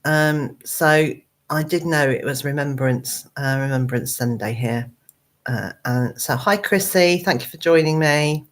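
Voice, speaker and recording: A woman with a hoarse, croaky voice, hosting a casual live chat from her computer at home, rambling with many ums and uhs. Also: low-pitched, a little slow. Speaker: gardening channel host.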